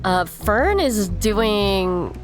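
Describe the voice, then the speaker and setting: A woman describes her character's moves at an unhurried pace. Her delivery is dry and deadpan. Music plays underneath her. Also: mid-range voice. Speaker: player. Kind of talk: tabletop role-play.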